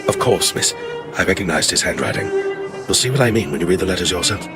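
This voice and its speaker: Courteous old retainer, very expressive pitch. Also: male voice, brisk. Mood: confiding. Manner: formal, deferential, hesitant. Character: courteous, loyal older family retainer, deferential. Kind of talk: video game voice acting. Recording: music behind the voice. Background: music.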